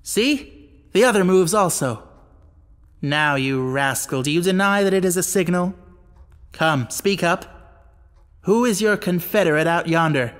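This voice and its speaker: A man's voice: high voice, unhurried, long pauses, big-room sound, voice pushed up. A man voicing a stern, commanding baronet in an audiobook mystery, blunt and indignant as he questions. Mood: accusing. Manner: blunt, demanding, forceful questioning.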